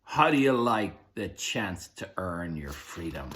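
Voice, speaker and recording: A man voicing a menacing, grizzled male pirate captain who growls slow, loud threats. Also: low voice, sing-song, full character voice.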